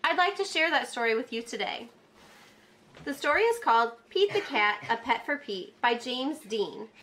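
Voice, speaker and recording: Woman, school read-aloud host, high lively voice, warm teacherly asides. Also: moderate pace, slight background noise. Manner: gentle, animated reading with teacherly asides to children. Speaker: school librarian reading aloud. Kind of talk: children's read-aloud storytelling. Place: home.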